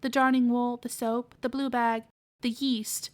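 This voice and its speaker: Lady doing a bossy pig auntie from a storybook, fussy, snappy, highish voice. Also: flat delivery, unhurried, natural voice. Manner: bossy, snappy, listing errands. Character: fussy, grumbling pig aunt giving orders.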